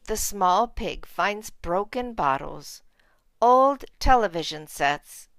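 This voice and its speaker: A woman's voice: medium pitch, expressive, slow pace, fairly bright tone, no echo. A woman reading a children's storybook aloud as narrator. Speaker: storybook reader.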